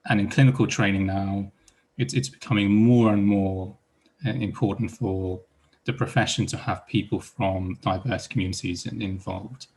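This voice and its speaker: A man's voice: lowish voice, fairly even intonation, moderate pace, a little mellow, slightly rough. A man from Iran speaking on a panel as a psychologist, hesitant and full of repeated words and restarts. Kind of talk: panel discussion.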